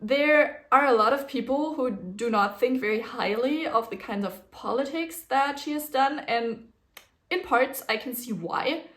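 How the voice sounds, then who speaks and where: A woman's voice: sits high, unhurried, a bit bright. A young woman with a German accent, who grew up speaking a German dialect, chatting casually and rambling a little on her solo podcast. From German-speaking region. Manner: chatty, rambling, with fillers and small laughs. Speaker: podcast host.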